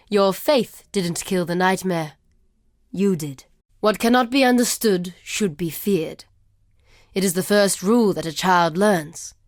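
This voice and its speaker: A woman playing a proud, wary desert tribeswoman warrior in a video game, speaking in a solemn, measured and formal way. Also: mid-range voice, dry recording, clean studio sound, lightly acted. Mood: wary, challenging. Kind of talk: video game character dialogue.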